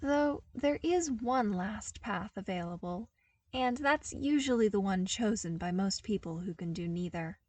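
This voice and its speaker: A woman voicing an earnest, wry young man from a harsh desert world in a story reading, explaining with animated emphasis. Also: mid-range voice, a little slow, dry recording. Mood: wry, cheerful.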